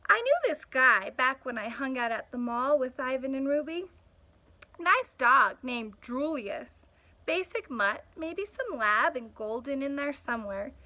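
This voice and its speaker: Streetwise male stray dog played by a woman, storybook narrator, blunt and sardonic, bright, high pitch. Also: lively intonation, a little slow, exaggerated voice. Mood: wry, animated. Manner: sardonic, punchy, conversational. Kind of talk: storybook first-person narration.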